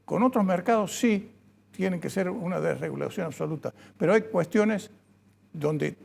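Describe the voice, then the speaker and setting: Gravelly high-voiced male host. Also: moderate pace, a little mellow. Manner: conversational, reflective, uses sayings. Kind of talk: radio interview.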